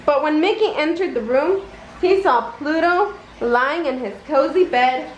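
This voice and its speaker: A woman narrating a children's bedtime story in a deliberate read-aloud style. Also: sits high, dull audio. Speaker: children's book reader. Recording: roomy echo, noticeable background noise.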